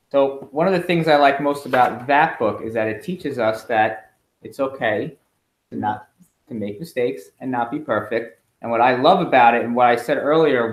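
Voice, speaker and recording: Middle-aged male, mid-pitched, expressive bedtime read-aloud host who pauses to ask children questions, recorded in an echoey home room. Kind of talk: animated read-aloud storytelling with chatty asides to children. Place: indoors at home.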